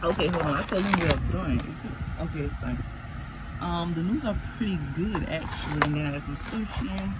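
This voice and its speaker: A woman's voice: lowish voice, fairly quick. A young woman, chatting to viewers in a casual phone vlog about her food and her day. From Florida, US. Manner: chatty, talks straight to viewers as y'all. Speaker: vlogger. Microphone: phone camera held at arm's length. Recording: noisy phone audio.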